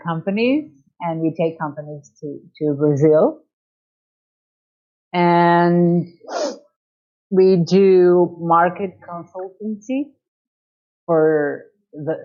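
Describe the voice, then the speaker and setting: Slow, hesitant Portuguese-accented woman. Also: low-pitched. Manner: hesitant, searching for English words. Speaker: chamber of commerce director. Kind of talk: interview over video call. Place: in Lisbon. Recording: dull lo-fi call audio.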